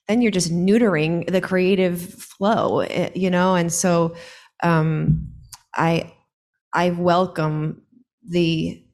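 Low-pitched woman, casual and animated guest. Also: takes it slowly. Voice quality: low singing voice. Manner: animated, joking, self-deprecating. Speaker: songwriter and musical composer interviewed as guest. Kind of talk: casual interview conversation.

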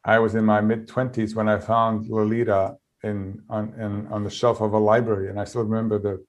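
Bosnian-accented man, 50s, low voice, panelist telling a thoughtful story with pauses. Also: little pitch movement. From Bosnia and Herzegovina. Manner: thoughtful, with many pauses and restarts. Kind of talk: reflective storytelling.

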